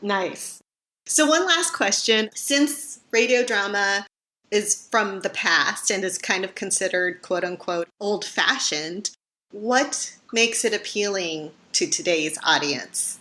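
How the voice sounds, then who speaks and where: A woman's voice: medium pitch, slow, sharp tone. A woman hosting a podcast, talking to guest and listeners in a conversational style. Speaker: podcast host. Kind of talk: conversational interviewing and sign-off.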